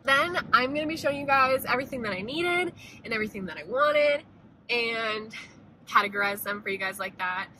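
Bright-voiced chatty young woman in a car. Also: on the high side, lively intonation, a little fast, slight background noise, poor audio. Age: young adult. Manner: chatty, upbeat, many "like" and "um" fillers. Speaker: vlogger. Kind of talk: casual shopping haul chat. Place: parked car, then a big store. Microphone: phone camera at arm's length.

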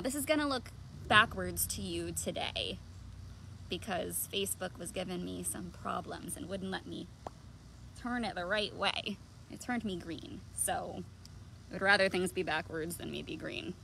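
A woman's voice: medium pitch, vocal fry, fairly bright tone, slight background noise. A woman in her thirties hosting a children's read-aloud outdoors, theatrical and playful, full of questions. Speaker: children's librarian, storytime host. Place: outdoors in a leafy backyard.

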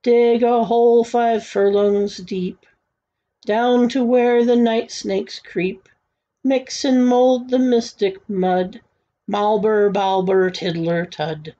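A woman voicing mysterious, solemn magicians with slow, drawn-out rhythmic chanting in a storybook read-aloud. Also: medium pitch, steady tone, warm tone, dull audio, natural voice. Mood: incantatory.

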